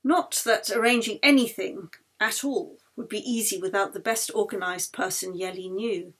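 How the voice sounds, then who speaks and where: A woman narrating a novel as its author, with dramatic, deliberate phrasing. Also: medium pitch, fairly bright tone, slightly rough. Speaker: author reading their own novel. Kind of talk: expressive audiobook narration. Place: recorded at home.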